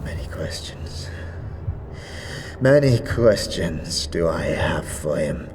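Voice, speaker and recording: A man voicing an ancient, weary undead ruler who speaks in archaic thou-speech, grave and slow. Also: sits low, sing-song, in a large hall, background noise, pitched below the performer's own voice, full character voice. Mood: troubled.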